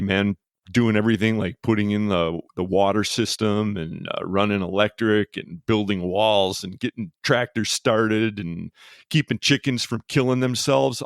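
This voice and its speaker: Podcast host: man, lowish voice, dry close sound, relaxed interviewer. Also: no room sound. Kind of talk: casual interview.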